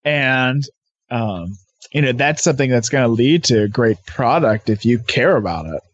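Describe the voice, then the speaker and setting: A man of average pitch talks as a podcast guest. His delivery is casual and conversational, and the sound is very dry, with no audible room. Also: animated, moderate pace, no echo, muffled recording.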